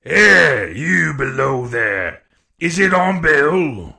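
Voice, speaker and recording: A man's voice: a little high, big pitch swings, creaky, pushed and bright, heavily acted. Nasty blind pirate boss for an audiobook, roaring, drags out his orders, really bossy. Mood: urgent, roaring. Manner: bellowing, drawn-out commands. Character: furious blind pirate leader, villainous, domineering. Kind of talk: audiobook character voice.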